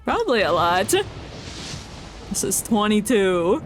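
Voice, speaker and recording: A woman's voice: mid-range voice, expressive, slow, music in the background. A woman chatting casually as she streams a game, with a playful, mock-dramatic manner. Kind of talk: casual livestream gameplay chat.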